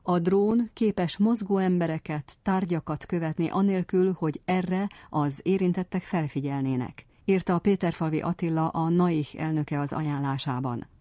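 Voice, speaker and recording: A woman's voice: on the low side, few pauses, warm tone, no room sound. A woman reading scripted narration for a news-style report.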